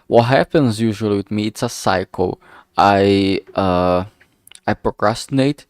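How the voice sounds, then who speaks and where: A streamer talking casually while working, thinking aloud and muttering in a halting way. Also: sits low, no room sound, clean studio sound.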